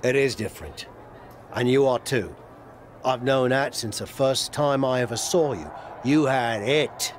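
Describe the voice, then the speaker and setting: A man's voice: mid-range voice, a little slow, a little hiss or hum, close to an everyday voice. A man playing a veteran wrestler turned mentor in a game cutscene, rambling and self-deprecating yet encouraging. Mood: earnest, rousing. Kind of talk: video game cutscene acting.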